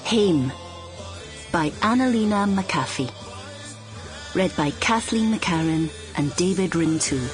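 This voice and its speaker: A Scottish woman reading literary narration for an audiobook in a measured, descriptive manner. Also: fairly low pitch, animated, music in the background.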